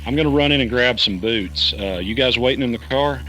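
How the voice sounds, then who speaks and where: A man's voice: mid-range voice, a little fast, a bit bright, soft background music, close to an everyday voice, rough recording. An actor playing a wary, uneasy man caught in a conspiracy, speaking hesitantly in a naturalistic audio drama style. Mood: practical. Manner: hesitant, questioning.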